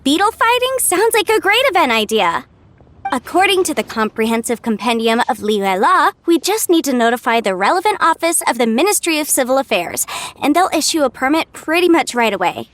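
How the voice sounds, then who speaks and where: Game legal adviser: cheerful, relaxed young woman, high voice, lively melodic delivery, reassuring and knowledgeable. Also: brisk. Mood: breezy, encouraging. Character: easygoing, cheerful young female legal expert. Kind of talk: animated video game voice acting.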